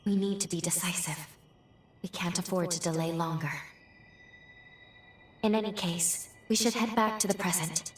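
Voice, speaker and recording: Game companion, female actor: earnest, polite young woman, sincere and measured, roomy, slightly distant sound with faint music. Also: fairly low pitch, steady tone, natural voice. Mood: troubled, resolute. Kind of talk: video game cutscene acting.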